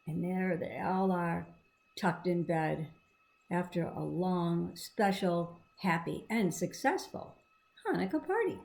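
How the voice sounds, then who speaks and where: A woman's voice: fairly low pitch, lively intonation, slow, vocal fry, darkish tone. A woman reading and explaining a storybook to children in a simple, encouraging, teacherly manner. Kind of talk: read-aloud with explanatory asides to children.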